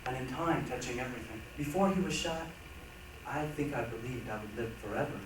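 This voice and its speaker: A man's voice: medium pitch, fairly quick, natural voice. A man performing a reader's theatre monologue as a reflective, earnest man recounting his memories. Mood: solemn. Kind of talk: staged dramatic monologue reading. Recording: very echoey, noisy hall, distant mic.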